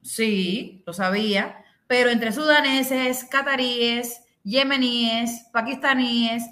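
A woman's voice: fairly high pitch, bright, forceful tone, echoey room. A middle-aged Cuban woman with a Cuban accent, telling a story on a video call and acting out the people in it. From Cuba. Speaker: host. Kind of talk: casual chat on a video call. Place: bedroom, indoors.

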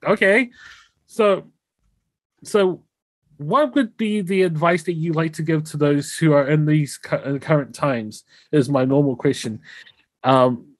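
A man's voice: a little high, a little slow, dry recording. A young man hosting a podcast over a video call with a headset, talking in an effusive, enthusiastic way. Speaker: podcast host. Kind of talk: casual interview chat. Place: home room, indoors.

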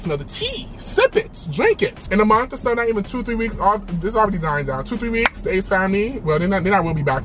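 A young man chatting casually to the camera while driving, sassy and animated, his pitch swinging up high for emphasis. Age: young adult. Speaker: vlogger. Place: inside a car with a sunroof. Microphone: camera mounted on dashboard.

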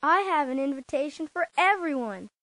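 A woman voicing an eager, excitable young schoolboy in a children's storybook game. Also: sits high, moderate pace, dry recording. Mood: excited.

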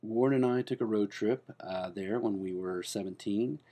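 A man playing a defensive, self-important character in a comedic spoof monologue, talking in a hesitant, rambling way with deadpan earnestness. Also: lowish voice, moderate pace. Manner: hesitant and rambling, full of fillers and restarts; deadpan, earnest delivery of absurd claims.

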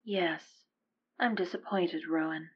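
A woman playing a stern, formal council member in an audio drama, speaking in a measured way. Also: fairly low pitch, breathy edge, lo-fi sound. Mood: cold regret. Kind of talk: audio roleplay drama acting.

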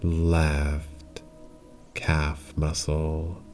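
A man leads a guided relaxation in a deep voice at an extremely slow pace. He gives calm, simple instructions in short phrases, separated by long pauses. Faint music plays behind him. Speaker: yoga nidra meditation guide.

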